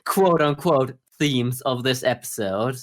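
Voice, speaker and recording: A man's voice: medium pitch, slow. A man co-hosting a podcast, giving casual, wry criticism in a discussion of anime. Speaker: podcast co-host.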